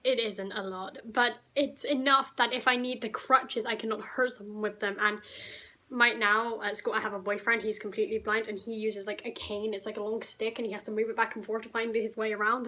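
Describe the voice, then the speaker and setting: A teenage girl from the UK, slightly croaky from a cough, telling a rambling, indignant storytime vlog. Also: fairly high pitch, pushed and bright. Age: teens. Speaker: vlogger.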